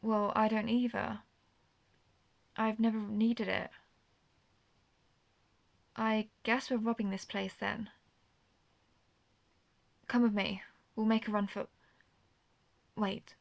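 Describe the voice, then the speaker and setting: A woman's voice: a little high, level tone, takes it slowly, gravelly, long pauses, highs cut off. A woman playing an intense, guarded vampire hunter, herself a vampire, in a scripted roleplay audio drama, speaking earnestly and formally straight to the listener. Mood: concerned, pressing. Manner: earnest, formal phrasing, addressing the listener directly.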